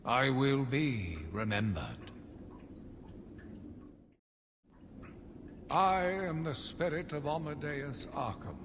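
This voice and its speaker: An actor playing a zealous, deluded asylum warden who delivers a theatrical, deliberate diary monologue in a video game. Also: male voice, medium pitch, expressive, fairly bright tone. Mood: grim defiance. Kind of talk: dramatic first-person game monologue. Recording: long reverb, clearly noisy ambient backdrop.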